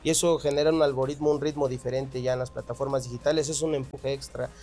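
A man's voice: mid-range voice, fairly even intonation, fast talker, a little mellow, soft background music. A middle-aged man, a band leader giving long, earnest explanations in a radio interview. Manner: earnest, explains at length, occasional laughs.

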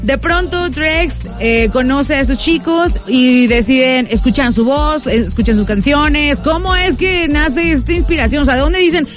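A woman with a Mexican accent hosting a lively radio interview in a studio booth, upbeat and quick, often laughing. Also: sits high. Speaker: radio host. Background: faint music.

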